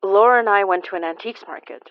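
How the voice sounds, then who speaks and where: Actress as candid young woman, medium pitch, dry muffled recording, podcast drama. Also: quick pace, clean tone, no room sound, natural voice. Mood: confiding. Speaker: actor in an audio drama. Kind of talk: naturalistic horror fiction podcast acting.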